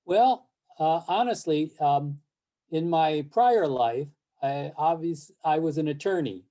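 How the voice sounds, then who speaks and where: Halting older man on a video call. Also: fairly high pitch, warm tone. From born in Japan, raised in the United States. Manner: halting, many fillers and restarts. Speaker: interview guest, film series board president. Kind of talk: casual interview over video call.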